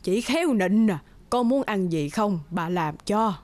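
A woman's voice: medium pitch, animated, unhurried, darkish tone, no room sound, lightly acted. An actress playing a kind, doting old neighbour woman in a cartoon, with an affectionate, fondly chiding manner. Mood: happy, fond. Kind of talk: cartoon voice acting.

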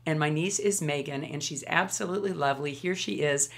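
A woman in her fifties with a low, hoarse voice from a lingering cold. She talks to the camera in a chatty, enthusiastic way, gesturing with her hands while she shows products in her home studio. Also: a little fast. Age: 50s. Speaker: beauty vlogger. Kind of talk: casual product review to camera.

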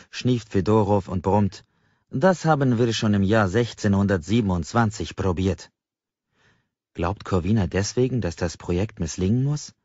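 A man narrating a novel as an audiobook, in an expressive storytelling style. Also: fairly low pitch, breathy edge, dry recording, lo-fi sound. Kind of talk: expressive literary narration, present tense.

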